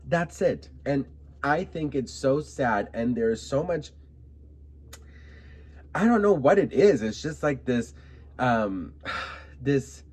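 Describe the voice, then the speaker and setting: A man's voice: medium pitch, a little mellow. A young man from Miami giving sassy, emphatic commentary to camera, often adding "okay?" asides. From Miami, United States. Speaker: vlogger commenting on celebrity news.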